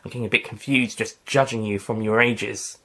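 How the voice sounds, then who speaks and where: A man voicing an eccentric, genial time-traveling alien in an audio story, chirpy and lively. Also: fairly low pitch, brisk, low-quality recording.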